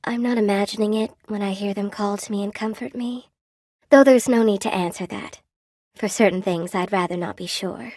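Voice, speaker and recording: A lonely spider woman from a video game, played by an actress, speaks in a mid-pitched voice with soft, old-fashioned politeness. She is a cursed weaver, proud and wistful, with a wry bitterness under her courtesy. The recording is dry and close, with no room sound. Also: steady tone, moderate pace, no echo, natural voice. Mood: wistful, confiding. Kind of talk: video game character dialogue.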